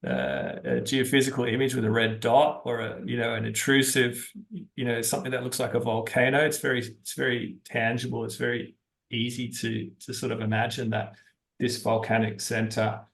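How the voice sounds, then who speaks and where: A man giving unscripted explanations as an interview guest, talking haltingly as he thinks aloud. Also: medium pitch, vocal fry, a bit bright. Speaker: interview guest, geology-minded stock investor.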